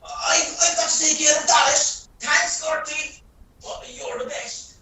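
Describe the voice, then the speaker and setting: Woman playing a sharp boss, heavily echoing. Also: high-pitched, level tone, unhurried, faint music behind. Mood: bossy, evasive. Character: brisk, careless boss handing out chores. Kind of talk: radio play acting. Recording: very echoey, distant, faint noise.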